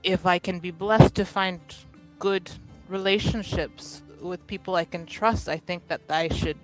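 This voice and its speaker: A woman's voice: lowish voice, steady tone, unhurried, soft background music, lightly acted, poor audio. A woman playing a well-dressed young noblewoman in a tabletop game, snobbish yet earnest and polite, talking in a chatty, rambling way. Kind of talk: improvised in-character tabletop roleplay.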